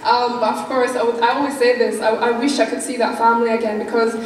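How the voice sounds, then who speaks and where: A woman's voice: on the high side, distant mic, a little hiss or hum. Young woman telling a story in an echoey hall. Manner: lively, quick, acts out quoted voices. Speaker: panel speaker. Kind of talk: storytelling speech. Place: large conference hall.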